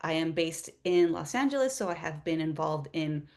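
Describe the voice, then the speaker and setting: A woman's voice: deep voice, expressive, normal speed, a little mellow. A woman introducing herself on a panel in a friendly, grateful way over a video call. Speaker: panelist, UX designer.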